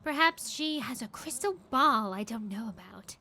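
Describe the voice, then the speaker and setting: A woman's voice: a little high, expressive, normal speed, vocal fry, bright, forceful tone, heavily acted. A woman voicing an impish, boastful elderly scientist in a young girl's body, teasing and haughty, in an audio drama. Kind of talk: fan audio drama acting.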